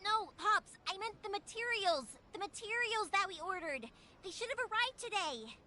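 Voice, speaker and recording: Game heroine, young and warm-hearted, very high animated voice, chatty and casual. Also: female voice, normal speed, a little hiss or hum, exaggerated voice. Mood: loud, insistent. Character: cheerful, warm-hearted young woman, fireworks maker and doting daughter. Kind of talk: English video game character acting. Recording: music playing behind the voice.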